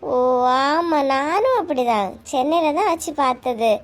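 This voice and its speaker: A woman plays a cartoon village woman who is a teasing know-it-all. Her voice is very high, with a Kanyakumari Tamil accent, and she chats in a playful, boastful way. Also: expressive, measured pace. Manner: teasing, chatty. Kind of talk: cartoon comedy voice acting.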